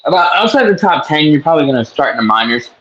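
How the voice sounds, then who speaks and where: A man co-hosting a casual sports talk show, chatting in an animated, joking way. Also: on the high side, quick pace, dull audio, slight background noise. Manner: animated, slips into a mock New York accent. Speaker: co-host.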